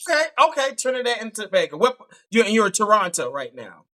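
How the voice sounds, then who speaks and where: Male dating show host, bright and edgy tone, teasing and jokey, video call. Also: high-pitched, sing-song, fast talker. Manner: playful, teasing, laughs often. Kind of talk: live online dating show hosting.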